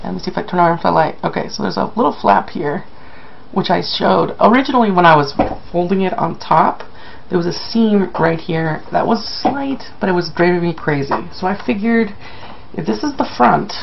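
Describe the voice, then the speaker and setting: A man with a croaky, sick-sounding voice giving a casual, rambling, self-deprecating video update to viewers. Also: high-pitched, moderate pace, highs cut off, a little hiss or hum. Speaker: vlogger.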